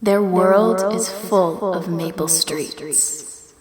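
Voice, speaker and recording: A woman playing a cold, calculating alien leader in a radio play, speaking in a measured, instructing way. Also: on the low side. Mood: cool, instructive. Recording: echoey long reverb, crowd noise behind.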